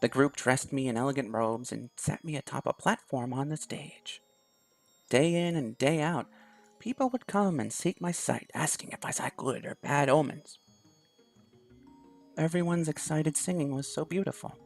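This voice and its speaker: A man quietly reading a visual novel aloud in first person, soft-spoken. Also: fairly high pitch, normal speed, gravelly, soft background music. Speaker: video game YouTuber.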